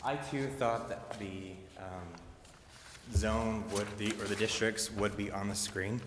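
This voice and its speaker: Low-voiced man in an echoey hall. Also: steady tone, slow pace, noisy background. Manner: methodical, questioning. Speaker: citizen questioner, trained in law. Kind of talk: public comment with questions. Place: indoor council chamber. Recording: echoey reverberant meeting hall.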